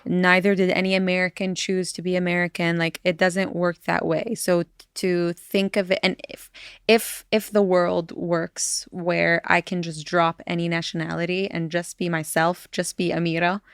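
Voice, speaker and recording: Woman, from East Jerusalem, podcast guest, earnest, lots of fillers, smooth low voice, dry sound. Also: flat delivery, moderate pace, no room sound. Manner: earnest, many fillers and restarts. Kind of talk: casual podcast conversation.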